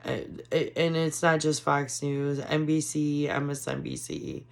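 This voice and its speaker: A woman giving casual, frustrated political commentary on her vlog, hoarse from losing her voice. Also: low-pitched, big pitch swings, unhurried. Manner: frustrated, ranting. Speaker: commentary vlogger.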